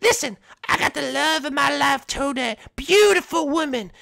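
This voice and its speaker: A man's voice: very high-pitched, lively intonation, moderate pace, gravelly, pushed and bright, no room sound, studio quality, voice pushed up, exaggerated voice. A man voicing a small mushroom guard in a game roleplay, self-assured, boastful and devoted.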